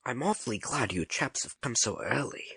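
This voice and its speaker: A woman's voice: extremely low pitch, expressive, normal speed, fairly bright tone, husky, voice pushed down, exaggerated voice. A woman voicing a cheerful, boyish young male detective in an audiobook, with a flippant, breezy manner. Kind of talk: audiobook character voice.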